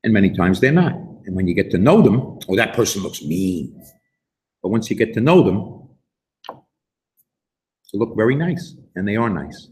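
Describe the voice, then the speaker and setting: A man reading a storybook aloud to children in an animated way, putting questions to his listeners in a gently instructive manner. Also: lowish voice, moderate pace, long pauses. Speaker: storybook reader and host.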